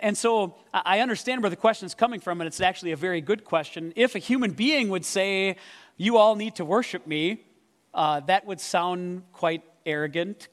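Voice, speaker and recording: A pastor answers questions from the congregation, his voice sitting a bit high for a man. He speaks casually and works his thoughts out aloud, stumbling and restarting now and then. His microphone runs through the PA and rings out with the long reverb of a church hall. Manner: conversational, thinking aloud with restarts. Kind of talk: church Q and A talk. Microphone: microphone over a PA.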